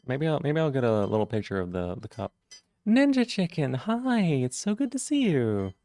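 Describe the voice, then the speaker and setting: A man streaming live, chatting casually with viewers and greeting them by name, with a rough voice today. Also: very animated, moderate pace, a little mellow, dry recording. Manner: friendly, greets chat members by name, jumps up in pitch on greetings.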